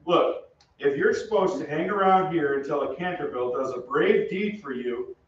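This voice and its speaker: A man's voice: fairly high pitch, normal speed, muffled recording, lightly acted. A man playing a brash, impatient young soldier with blunt, slangy talk in an old-time radio play. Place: theatre stage, heard from off. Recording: very echoey distant stage, noisy.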